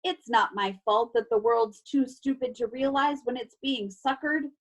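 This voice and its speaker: A woman's voice: fairly high pitch, unhurried. A woman voicing a menacing, boastful, greedy male villain in a storybook read-aloud. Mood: smug boasting. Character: sinister gloating male villain, growling and greedy.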